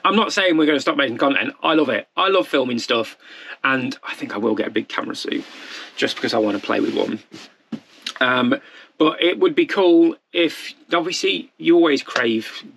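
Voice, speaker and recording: A man's voice: medium pitch, moderate pace, gravelly, fairly bright tone, breathy edge. A man in his forties, a vlogger talking off the cuff to camera in a candid, rambling, self-deprecating way. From United Kingdom. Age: 40s.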